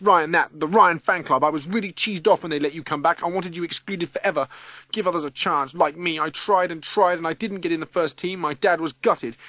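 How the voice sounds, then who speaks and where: A whiny, aggrieved schoolboy played by a man in a storybook reading, pitched high and bright. He is bitter and tearful, spitting out his grudges. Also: no echo. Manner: whining, aggrieved. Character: bitter, tearful schoolboy rival confessing spite.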